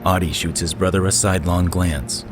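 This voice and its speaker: A man's voice: sits low, fairly even intonation, moderate pace, background noise. A man giving scripted dramatic narration for a sci-fi audio drama. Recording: loud music behind the voice.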